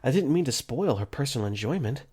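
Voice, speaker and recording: A young man voicing an earnest, caring dorm caretaker for a fan dub, gently narrating his own thoughts in first person. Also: mid-range voice, animated, quick pace, husky. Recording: faint music under the voice.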